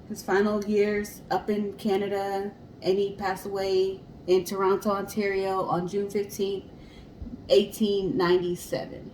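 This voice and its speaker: A woman's voice: medium pitch, a little mellow. Woman podcast host in an echoey room. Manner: explanatory, addresses listeners directly. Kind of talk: casual discussion of a history story. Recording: roomy echo, clear background hiss.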